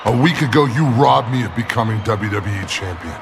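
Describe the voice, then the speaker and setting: A big vengeful wrestler, low threatening voice, game cutscene, loud noise behind. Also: male voice, animated, fairly quick. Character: big menacing vengeful male giant wrestler. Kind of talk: video game cutscene acting.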